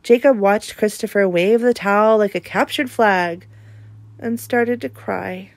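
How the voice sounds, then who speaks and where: A woman reading a children's picture book aloud in an expressive narrating style. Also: mid-range voice, measured pace, no echo. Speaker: storybook reader.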